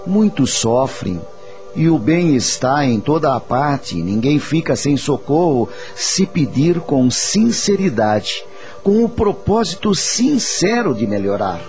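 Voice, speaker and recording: A man playing a wise, patient spirit teacher, speaking in a measured, reassuring and didactic way in a radio drama. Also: a little high, sing-song, darkish tone, full character voice. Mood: gentle teaching. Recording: faint music under the voice.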